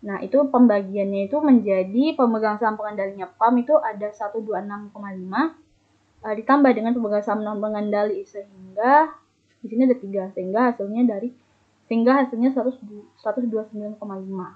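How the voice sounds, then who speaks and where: A woman's voice: mid-range voice, fast. A young adult woman presents as a student, explaining slides step by step and stumbling a little over figures. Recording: muffled, phone-like narrow band.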